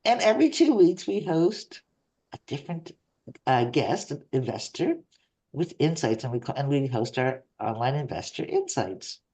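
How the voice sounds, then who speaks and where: Lively woman hosting a video call. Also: very deep voice, moderate pace. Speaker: host. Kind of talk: hosting an online meetup.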